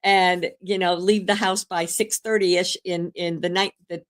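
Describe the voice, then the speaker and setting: An older woman, a grandmother, hosting a live stream in rambling, casual chat, hoarse from a cold, with many fillers and restarts. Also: on the low side, steady tone, measured pace.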